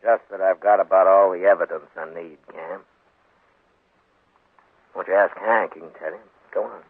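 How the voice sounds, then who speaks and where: An American man playing a dry, shrewd, unyielding frontier marshal in a 1950s radio western, speaking in a laconic, wry, deliberate way. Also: low voice, fairly even intonation, slightly rough. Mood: coolly provoking. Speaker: lead actor in radio western. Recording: muffled, band-limited 1950s radio with echo.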